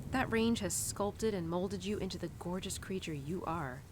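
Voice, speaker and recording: Low-voiced young cowgirl played by a woman, ambient noise behind. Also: moderate pace, natural voice. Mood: admiring, earnest. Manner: casual, rambling, sighing and giggly. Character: young animal-loving cowgirl confiding tenderly in her horse. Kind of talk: intimate audio roleplay addressed to the listener.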